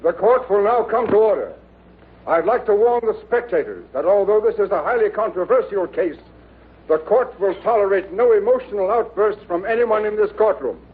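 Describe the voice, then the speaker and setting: An actor playing a stern, formal judge in an old radio sitcom. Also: male voice, high voice, lively intonation, normal speed, pauses often. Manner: formal, authoritative. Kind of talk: 1940s radio sitcom acting. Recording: tinny narrow old radio recording.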